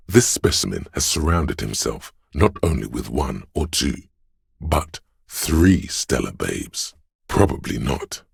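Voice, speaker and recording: An extremely low, heavily rasping male voice plays a scheming inner voice in a video game. He narrates straight to the player with measured, coaxing insinuation. The sound is dry and close, with a gravelly creak under the words. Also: no room sound, full character voice. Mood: sly, measured. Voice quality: gravelly, husky bass. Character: smooth, sly, scheming inner voice of persuasion, male. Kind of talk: scripted second-person game narration.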